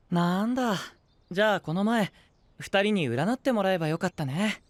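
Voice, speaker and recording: A man playing a gentle, curious, polite young knight in an anime voice drama, speaking softly with calm teasing. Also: sits high, a little slow, no room sound. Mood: calm, probing.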